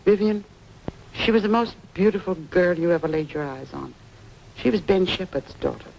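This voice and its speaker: An actress playing a kindly, gossipy older aunt in a mid-century drama, confiding and hesitant in a creaky voice. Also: female voice, lowish voice, normal speed, darkish tone. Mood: wistful gossip. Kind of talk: mid-century American film drama acting. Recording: dull, noisy vintage soundtrack.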